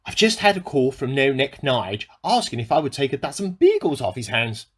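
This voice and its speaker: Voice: medium pitch, wildly swooping pitch, moderate pace, a bit bright, slightly rough, full character voice. An actor in a radio play playing a bemused amateur scientist who keeps a spoken diary, musing aloud in an earnest, slightly formal and self-questioning way. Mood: puzzled, matter-of-fact. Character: bemused amateur scientist keeping an audio diary, increasingly suspicious.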